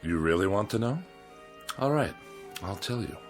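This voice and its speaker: An actor playing a bored, cruel immortal husband in a mid-century American TV drama, with a theatrical, scornful delivery and an American accent. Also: male voice, fairly low pitch, expressive, moderate pace. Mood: restless, boastful. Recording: vintage TV soundtrack with music.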